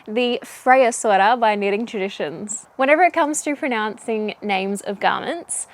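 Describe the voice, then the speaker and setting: A woman's voice: medium pitch, moderate pace. A woman with an Australian accent, chatting casually and bubbly about knitting as a vlogger, recorded outdoors on a camera mic. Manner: chatty and bubbly, laughs often. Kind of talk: casual chat. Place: outdoors in a garden.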